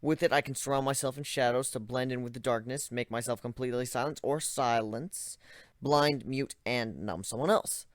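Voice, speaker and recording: A man giving a dramatic first-person reading of a story, expressive and close to the mic. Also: medium pitch, moderate pace, no room sound. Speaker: story reader.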